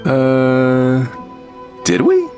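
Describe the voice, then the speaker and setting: A man's voice: medium pitch, wildly swooping pitch, darkish tone, soft background music, full character voice. A man voicing a vague, easygoing, absent-minded fisherman with a timid streak in an anime-style video game. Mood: blissfully carefree. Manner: vague, unhurried nonchalance.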